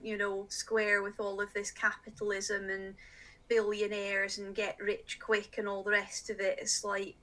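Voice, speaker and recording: A woman's voice: medium pitch, completely flat delivery, unhurried, pushed and bright. A middle-aged woman from Scotland who grew up in northeast England, a magazine editor speaking earnestly and emphatically as a podcast guest. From Scotland, raised in northeast England. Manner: earnest, emphatic, plain-spoken. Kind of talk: earnest interview talk.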